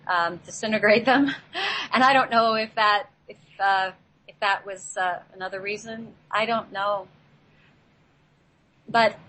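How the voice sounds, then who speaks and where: A woman giving an unscripted spiritual talk as a guest, pausing often and repeating herself as she searches for words. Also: mid-range voice, a bit bright, poor audio. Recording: clear background noise.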